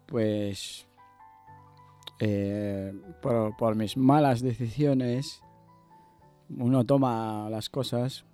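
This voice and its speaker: A man giving personal testimony as an interview guest, in a hesitant, halting way. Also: mid-range voice, dark tone. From Ecuador.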